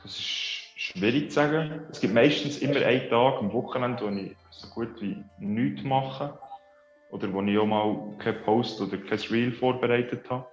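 A man's voice: medium pitch, normal speed. A man with a Swiss German accent, a guest in a casual interview who thinks aloud in a reflective, hesitant way. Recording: roomy, dull lo-fi, faint music.

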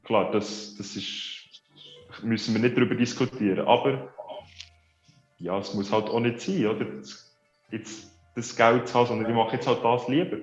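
A man's voice: mid-range voice, moderate pace. A man with a Swiss German accent speaks in a reflective, hesitant way as an interview guest. The recording sounds roomy and a little dull, with faint music underneath. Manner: reflective, hesitant, thinking aloud. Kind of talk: casual interview chat.

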